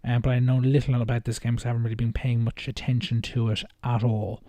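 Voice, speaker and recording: A man with a soft-edged, mellow voice in a dry, close recording, talking casually about video games as a reaction host. He rambles hesitantly and often doubles back to reword what he is saying. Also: mid-range voice, flat delivery, fast, no echo. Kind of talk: casual unscripted video game commentary.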